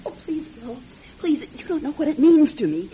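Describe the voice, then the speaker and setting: A high-pitched, earnest young woman in an old-style radio drama. She speaks in a halting, pleading manner with very lively inflection. The recording is dull and slightly noisy. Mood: tearful, desperate. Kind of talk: classic radio drama acting.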